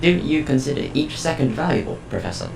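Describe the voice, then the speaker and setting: A man performs a calm, curious artificial intelligence with a deep, heavily creaking voice. It speaks in formal, precise sentences, yet its pitch moves expressively. The voice echoes over prominent music, as in a science fiction audio drama. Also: brisk, full character voice.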